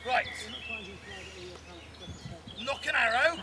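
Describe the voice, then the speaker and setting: A man's voice: very high-pitched, very slow, very noisy. Man calling archery drills outdoors. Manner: loud commanding drill calls, teasing the audience. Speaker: archery display presenter. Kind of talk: outdoor demonstration to a crowd. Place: open field at a medieval fair. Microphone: far camera, no mic.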